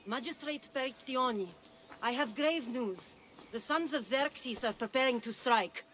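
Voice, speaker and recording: A woman's voice: high voice, a little slow, fairly bright tone, a little hiss or hum. An actress playing a fierce, blunt woman hunter in a video game, speaking with urgency. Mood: grave, urgent. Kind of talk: video game cutscene acting.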